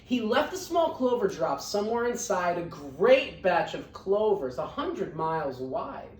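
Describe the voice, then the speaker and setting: A woman reading a children's storybook aloud as an expressive narrator. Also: fairly low pitch, a little slow. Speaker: read-aloud storyteller, teacher. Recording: roomy echo, distant microphone.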